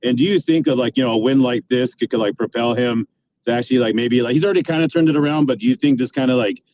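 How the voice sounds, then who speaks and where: A man calling in with a question, halting and searching for words as he goes. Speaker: caller. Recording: muffled remote line with background rumble.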